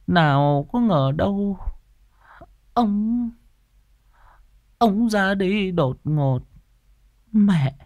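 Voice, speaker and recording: A man voicing a grieving elderly widowed mother in an audio story, halting and tearful. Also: mid-range voice, warm tone, no room sound, dull audio. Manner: halting, sobbing, repeating words.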